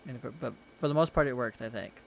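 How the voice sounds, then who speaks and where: Young male streamer, mid pitch, rambling self-deprecating chat, faint hiss behind. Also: rapid-fire, creaky. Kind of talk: casual rambling commentary while gaming. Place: his bedroom.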